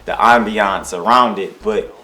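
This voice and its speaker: A young man from Detroit speaks in a very low voice. He rambles in a relaxed, casual way, restarting sentences and dropping slang as a rapper sitting for an interview. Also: a little slow. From Detroit, USA.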